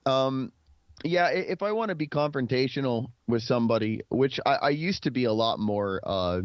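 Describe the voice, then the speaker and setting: A man's voice: mid-range voice, expressive, moderate pace, smooth, dry recording, lo-fi sound. A man in his thirties from Texas talking informally and reflectively as an interview guest. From Dallas, Texas, United States. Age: 30s. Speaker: podcast guest. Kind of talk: conversational interview, telling personal history.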